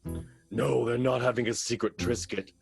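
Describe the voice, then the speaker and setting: A man's voice: mid-range voice, fairly even intonation, moderate pace, vocal fry, music in the background, lightly acted. An actor playing a scheming, villainous man in an improvised radio play, bossy and exasperated.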